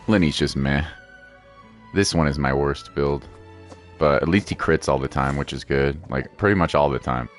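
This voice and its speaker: A man giving casual, playful gameplay commentary as a streamer, often repeating his phrases. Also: very deep voice. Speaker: game streamer.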